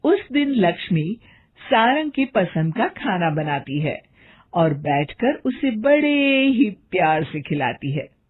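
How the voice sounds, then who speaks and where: Slow, husky woman storyteller. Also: mid-range voice, big pitch swings, narrow, tinny audio. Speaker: story narrator. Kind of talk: scripted storybook narration.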